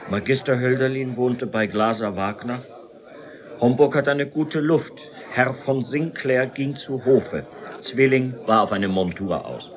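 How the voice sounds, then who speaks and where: An actor playing an earnest man in a German radio drama, speaking in deliberate, plain statements. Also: medium pitch, natural voice.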